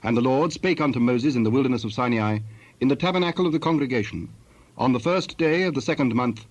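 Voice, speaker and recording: Male Bible narrator, mid-range voice, measured and formal scripted delivery. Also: mid-range voice.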